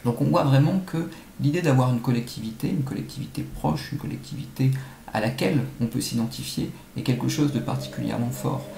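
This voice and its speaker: Man, gravelly mid-pitched voice, expert interviewee, analytical and measured, roomy noisy recording. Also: warm tone. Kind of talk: explanatory interview answers. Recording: echoey room, faint-to-clear background noise.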